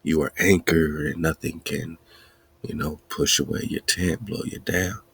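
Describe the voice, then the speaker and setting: Gravelly low-voiced man preaching. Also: steady tone, a little slow, fairly bright tone. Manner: preachy, repetitive, chanting lists; laughs between points. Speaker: podcast host. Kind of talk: spiritual teaching talk.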